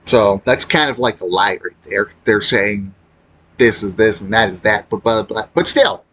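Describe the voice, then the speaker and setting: Halting husky man with swooping intonation. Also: on the low side, lively intonation, a bit bright. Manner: rambling, halting delivery with trailing thoughts. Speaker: video creator commenting on a game. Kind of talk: unscripted casual gaming commentary.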